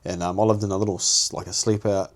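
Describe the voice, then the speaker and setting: A man's voice: deep voice, flat delivery, quick pace. A young New Zealand man co-hosting a podcast, chatting casually and animatedly, laughing often and talking in slang. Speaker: podcast co-host. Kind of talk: casual chat.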